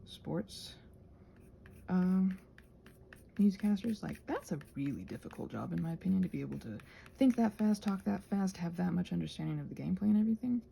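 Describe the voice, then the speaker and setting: A woman's voice: fairly low pitch, lively intonation, brisk, gravelly, dark tone, a little hiss or hum. A woman chatting casually in a whimsical, digressive way while she does crafts. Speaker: craft vlogger.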